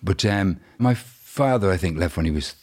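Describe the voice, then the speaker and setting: A man in his fifties, born in Ireland, telling stories in a rambling, halting way in a casual interview. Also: sits low, animated, darkish tone. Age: 50s. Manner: rambling, halting storytelling with many restarts. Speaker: musician guest.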